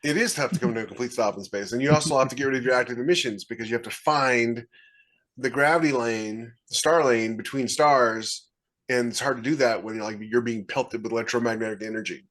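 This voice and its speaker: A man's voice: medium pitch, brisk, fairly bright tone. A man co-hosting a writers' podcast over a video call, explaining his ideas conversationally. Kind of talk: casual panel discussion.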